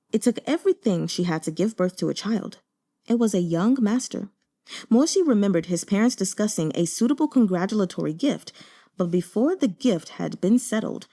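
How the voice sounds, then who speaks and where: A woman with a dark, soft-edged tone narrates fiction. Her delivery is measured and dramatic, with a very lively rise and fall across a middling register. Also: mid-range voice. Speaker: audiobook narrator. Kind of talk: expressive scripted narration of a novel.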